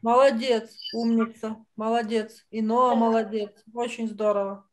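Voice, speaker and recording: A woman's voice: on the high side, level tone, measured pace, darkish tone, slight background noise, low-quality recording. A woman teaching children drawing in an online lesson, speaking in an encouraging way full of praise. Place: indoors, on a video call. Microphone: video call microphone.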